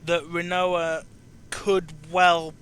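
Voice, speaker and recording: A man's voice: on the high side, little pitch movement, extremely slow, fairly bright tone, slight background noise. A man in his twenties hosting a casual chat about games, earnest and often repeating and rephrasing himself mid-thought. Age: 20s. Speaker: interviewer and show host.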